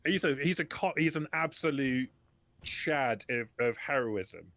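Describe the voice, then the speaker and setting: A man acting as game master, improvising narration and answers for a tabletop roleplaying game in an animated way. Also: medium pitch, unhurried. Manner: animated, talks in character.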